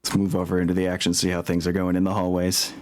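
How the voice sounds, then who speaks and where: A man's voice: low-pitched, completely flat delivery, fast, background noise. A man working as game master, narrating a tabletop game informally and thinking aloud as he goes.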